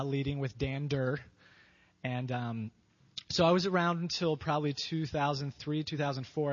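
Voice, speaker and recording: A man from Pennsylvania answering interview questions about his wife in a relaxed, halting way, with many fillers. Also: mid-range voice. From Pennsylvania, USA. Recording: dull lo-fi sound.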